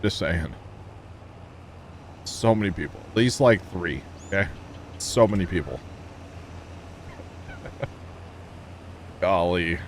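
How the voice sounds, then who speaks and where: A man's voice: deep voice, animated, slow, long pauses, a little hiss or hum. A man chatting casually with his stream viewers while he plays, telling rambling jokey stories and chuckling often. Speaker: livestream gamer. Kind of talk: casual livestream chat with viewers.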